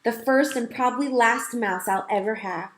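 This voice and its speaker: A woman's voice: on the high side, moderate pace, slight background noise, lightly acted. A woman voicing a thoughtful, earnest young boy camper who talks things through. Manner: earnest, reasoning tone. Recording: roomy echo.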